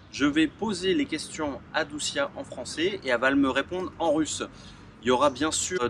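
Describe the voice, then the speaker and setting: A man's voice: fairly high pitch, vocal fry. A man from France hosting an interview outdoors, asking questions in an easygoing, loose way. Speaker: host. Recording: distant mic with loud street traffic.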